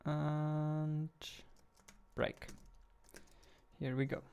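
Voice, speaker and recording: Male, twenties, devlog host. Slightly high pitch, gravelly fry at the edges, halting think-aloud delivery. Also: level tone, darkish tone, dull audio. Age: 20s. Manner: halting, muttering to himself. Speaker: game developer, host of a devlog. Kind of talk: casual thinking aloud while coding. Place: indoors at a desk.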